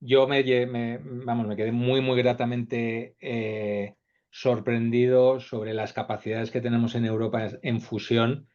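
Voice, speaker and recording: A man's voice: mid-range voice, flat delivery, measured pace, darkish tone, muffled recording. A man hosting a webinar interview, summarizing and asking questions hesitantly with many fillers. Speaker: host interviewer.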